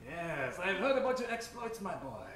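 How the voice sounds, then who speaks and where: A man's voice: high voice, big pitch swings, moderate pace. A man playing a sly, grandiose villainous hypnotist in an old-time radio comedy, with pompous, florid speech. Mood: pompous, tipsy. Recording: roomy, distant stage mic, loud music.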